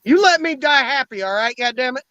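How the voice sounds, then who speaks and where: A man's voice: very high voice, lively intonation, fairly quick, bright, forceful tone, smooth, no echo. A man in his forties in a casual, blunt and profane chat, rambling as he talks. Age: 40s. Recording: dull lo-fi dry sound.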